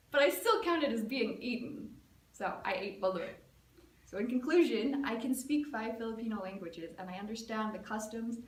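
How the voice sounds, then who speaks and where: A young woman from the United States gives a storytelling speech with a somewhat high voice, chatting casually and dropping in plenty of "like" and "um". She sounds a little distant from the mic. The room adds a clear echo. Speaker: student giving a speech. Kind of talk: prepared personal storytelling speech. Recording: echoey room, mic at a distance, faint ambience.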